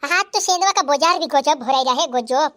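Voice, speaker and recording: A chatty village man in a cartoon, rambling on in a sing-song Bhili dialect, as a voice actor plays him. His voice is high and sped up, with a squeaky cartoon edge. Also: normal speed, bright, forceful tone.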